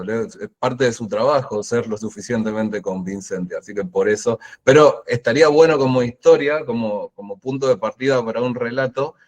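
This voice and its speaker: A man coordinating a book club over a video call, rambling and thinking aloud. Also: on the low side. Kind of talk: casual video-call discussion.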